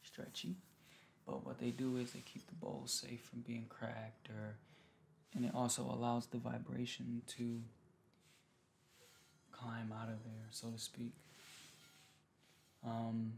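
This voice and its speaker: A man in his thirties speaking softly in casual ASMR style, unhurried and often slipping into whispers. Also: mid-range voice, monotone, creaky. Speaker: ASMR host. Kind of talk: soft-spoken ASMR, casual chat to viewers. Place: small home room, indoors. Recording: faint background noise and room ambience.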